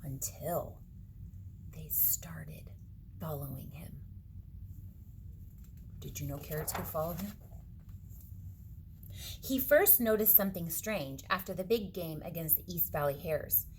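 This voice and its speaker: A woman reading a picture book aloud to children, dramatically, with hushed suspenseful moments and asides to her young listeners. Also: low-pitched, animated, takes it slowly, long pauses, noisy background. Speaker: read-aloud storyteller for children.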